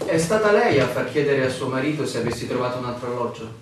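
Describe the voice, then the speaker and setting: An actor playing a dutiful, mild innkeeper husband with a plain, subdued manner in a stage-like radio drama. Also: male voice, medium pitch, lively intonation, fast, natural voice. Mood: wary. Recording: echoey room, distant mic, clear noise.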